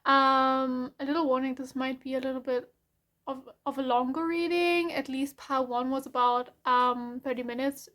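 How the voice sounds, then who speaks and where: Soft-spoken German woman reading tarot. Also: high-pitched. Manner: soft, rambling, frequent self-corrections and fillers. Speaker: tarot reader.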